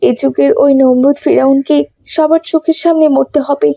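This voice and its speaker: Give me a narrator voicing a devout cartoon refugee woman, hopeful, high and soft in tone. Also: steady tone, moderate pace, creaky, no echo, muffled recording. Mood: hopeful, fervent. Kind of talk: cartoon story dialogue.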